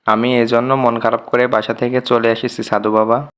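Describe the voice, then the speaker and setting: A gentle, dutiful village boy from a cartoon tale, voiced by a grown man. He sounds meek and plaintive, at a medium male pitch. Faint noise sits behind the voice. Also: level tone, normal speed, natural voice. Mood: sad.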